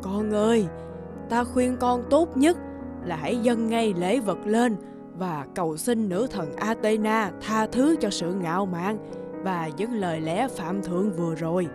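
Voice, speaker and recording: A woman voicing a kindly, grave old woman in an animated fairy tale, speaking in a solemn, admonishing way. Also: medium pitch, measured pace, music in the background, lightly acted. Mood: warning.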